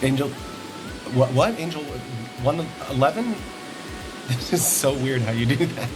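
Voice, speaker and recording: A man playing an excited, encouraging teenage boy at the beach in a film script table read. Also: medium pitch, a little slow, music in the background, lightly acted, low-quality recording. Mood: amazed.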